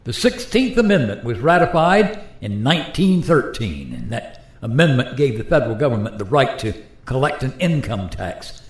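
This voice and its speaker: A man's voice: medium pitch, big pitch swings, moderate pace. Man acting a sheepish ordinary guy, hesitant and apologetic, very up-and-down delivery, echoey room, radio play. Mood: resigned, explaining. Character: sheepish modern American man named George, resigned. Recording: roomy, echoey voice recording.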